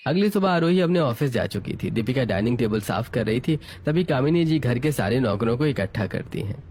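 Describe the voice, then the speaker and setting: A man's voice: mid-range voice, animated, a little fast, darkish tone, a little hiss or hum. A man reading scripted narration for a family moral story, setting out scenes as the narrator.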